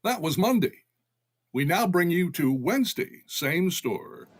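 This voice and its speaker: An actor playing a radio announcer, reading scripted narration in an old-time broadcast style. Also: male voice, sits high, sing-song, unhurried, noisy background. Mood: brisk. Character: genial male radio announcer.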